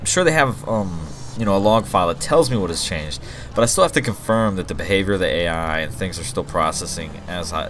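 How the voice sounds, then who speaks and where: A man giving a casual, rambling gameplay walkthrough as he demonstrates his own game mod. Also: low voice. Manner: informal, rambling explanations. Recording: game music and vehicle sirens behind voice. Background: game music, vehicles, police sirens.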